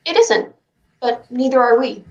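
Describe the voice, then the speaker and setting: Casting: woman as hot-headed, suspicious kid in a stage play, fairly high voice, defiant. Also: lively intonation, a little slow, vocal fry, highs cut off. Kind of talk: staged reading of a play over video stream.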